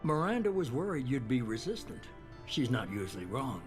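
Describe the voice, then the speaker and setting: An actor playing a calculating, self-assured leader of a secretive organization, speaking in a measured, persuasive way in a video game. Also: male voice, medium pitch, sing-song, lightly acted. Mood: assured, commanding. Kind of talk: video game cutscene acting. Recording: music behind the voice.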